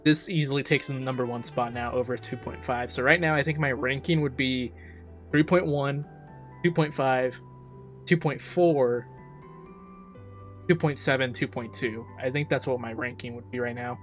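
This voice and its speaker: A man's voice: mid-range voice, steady tone, moderate pace. A man giving casual, emphatic, enthusiastic commentary as a game reaction streamer. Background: faint game music.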